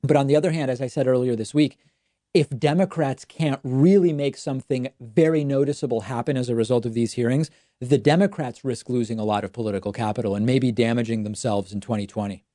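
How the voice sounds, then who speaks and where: A man's voice: mid-range voice, a little fast, dark tone, dry recording, clean studio sound. A man hosting a live call-in talk show, explaining his views in an opinionated way. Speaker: host.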